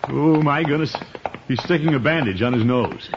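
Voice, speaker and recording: An actor playing a blustery, pompous middle-aged bachelor uncle in a 1950s radio comedy, on a lo-fi old broadcast. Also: male voice, medium pitch, moderate pace, noisy background, close to an everyday voice. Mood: flustered. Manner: blustery, exclaiming.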